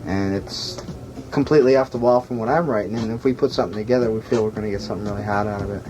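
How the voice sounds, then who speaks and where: Band guy talking fast, lowish voice, casual interview on a noisy old radio tape. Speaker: band songwriter being interviewed. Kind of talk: casual chat. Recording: noisy archival 1980s radio recording.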